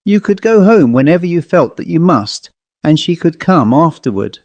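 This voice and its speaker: A man's voice: a little high, very animated, normal speed, darkish tone, no echo, muffled recording, pitched above the performer's own voice. A man voicing a hard, defiant country housewife from New England in a storybook reading, curt and scornful. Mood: cold, dismissive. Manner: scornful, curt, rustic phrasing.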